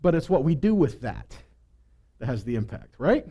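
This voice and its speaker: A man's voice: mid-range voice, big pitch swings, normal speed, husky, lo-fi sound. A man giving a conversational sermon as a preacher, teaching with rhetorical questions and frequent check-in tags.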